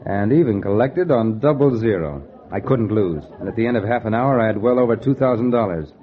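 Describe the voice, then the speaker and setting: Male actor as cocky noir gambler, low pitch, clipped slangy delivery, vintage radio drama, muffled sound. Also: lively intonation, a little fast, natural voice. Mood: wry, tense. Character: hard-boiled, cocky male gambler, broke and money-hungry. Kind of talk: 1940s radio noir acting, first-person narration. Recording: dull vintage radio recording with music. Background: music.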